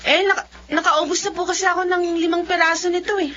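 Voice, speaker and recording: Casting: playful young Filipino daughter for radio drama, high and bright, lo-fi with music underneath. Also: female voice, little pitch movement, fast talker. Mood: playful, hopeful. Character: cheeky, dreamy young woman student, devoted daughter. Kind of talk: radio drama acting and first-person narration.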